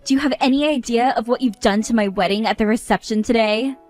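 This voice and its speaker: A woman's voice: on the high side, quick pace, darkish tone, soft background music, natural voice. A woman voicing an exasperated young bride who scolds others in an indignant tone.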